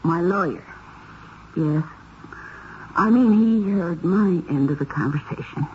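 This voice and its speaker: A shaken married woman speaks in a low, halting voice, fearful and under suspicion. It is vintage radio mystery drama, with a dull tone and clear hiss over the recording. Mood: guarded, hesitant. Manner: hesitant, halting delivery. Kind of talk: 1970s radio mystery acting.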